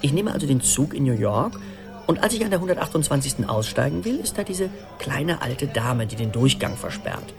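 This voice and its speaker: An actor in a radio play voicing an anxious middle-aged man who tells his story in an urgent, animated, rambling conversational monologue. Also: medium pitch, fairly quick, vocal fry, over music. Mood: animated recounting. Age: 40s.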